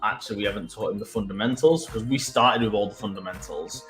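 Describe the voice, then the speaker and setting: Male co-host, Mancunian accent, low pitch, roomy echo, relaxed explainer. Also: soft background music. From Manchester. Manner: conversational, halting restarts. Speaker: web developer co-host. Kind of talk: casual business podcast chat.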